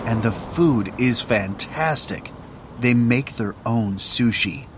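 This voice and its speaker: Slow-spoken man reading course dialogue. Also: medium pitch, noisy background. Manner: slow, careful delivery. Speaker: track announcer and dialogue reader. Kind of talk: scripted textbook audio reading.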